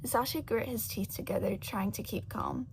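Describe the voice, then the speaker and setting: A woman reading a story as a narrator, in an expressive, dramatic scripted style. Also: medium pitch, moderate pace, vocal fry, slight background noise. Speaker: audiobook narrator of fan fiction.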